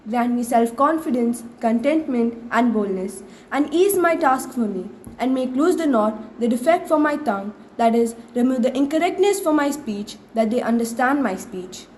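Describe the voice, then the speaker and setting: High-pitched teenage girl reciting prayers. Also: dark tone. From India. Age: teenager, ninth grade. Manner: measured, phrase-by-phrase recitation. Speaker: student reciter. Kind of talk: prayer recitation with English translation. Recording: faint background noise, school intercom assembly.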